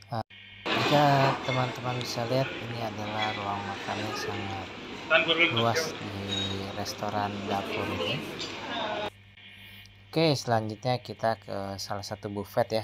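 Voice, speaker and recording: Slow, low-voiced man touring a buffet. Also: heavy background noise. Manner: steady, slow descriptive narration. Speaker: food vlogger. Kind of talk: narrated restaurant buffet tour. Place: hotel restaurant, indoors.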